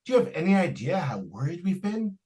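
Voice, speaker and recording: A man's voice: high-pitched, sing-song, a little fast, mellow. A man playing a stern, exasperated father in an old-time radio suspense drama. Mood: angry, worried.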